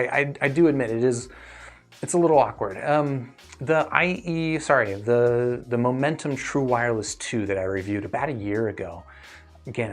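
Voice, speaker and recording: A man hosting a live stream in a casual, conversational way, thinking aloud as he answers viewers. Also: mid-range voice. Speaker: host of a livestream reviewing earphones.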